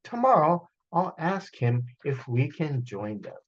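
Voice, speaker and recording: A man's voice: on the high side, big pitch swings, a little slow, breathy edge, dull audio, pitched above the performer's own voice, exaggerated voice. A man voicing an eager, courteous young boy in a children's storybook.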